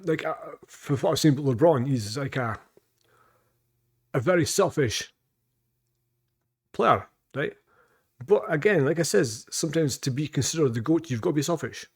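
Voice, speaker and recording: A man's voice: medium pitch, darkish tone, breathy edge. Rambling Scottish man, casual reaction talk. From Scotland. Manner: rambling and repetitive, with frequent fillers and profanity. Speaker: reaction vlogger.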